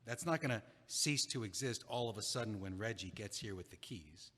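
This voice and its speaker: A man's voice: on the low side, expressive, fairly quick, sharp tone. An actor playing an older male friend, exasperated but caring, in an audio play. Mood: earnest, caring. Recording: echoey hall with long reverb.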